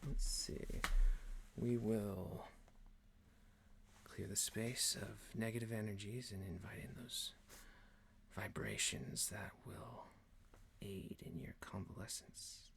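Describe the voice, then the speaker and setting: Guy doing calm polite boss asmr, gravelly creaky voice, super slow n hushed, soothing, bit of background noise. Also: fairly low pitch. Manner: hushed, deliberate, often near-whispered, soothing. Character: calm, genteel male boss leading a relaxation ritual. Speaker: ASMR roleplay performer. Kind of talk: ASMR roleplay, guided relaxation.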